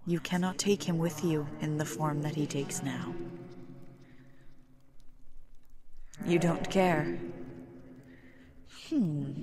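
A woman playing an aloof, possessive deity of death who speaks deliberately and imperiously in a scripted roleplay monologue. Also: lowish voice. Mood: knowing, ominous, then musing, intrigued. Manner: slow, deliberate, imperious, with long pauses.

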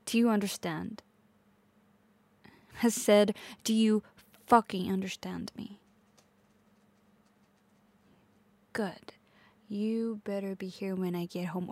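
A woman's voice: medium pitch, steady tone, takes it slowly, gravelly, long pauses, a little mellow. A woman playing a possessive, volatile, manipulative girlfriend in an intense audio roleplay, addressing the listener directly. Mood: controlling, menacing. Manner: intense, swearing, swinging between pleading and menace.

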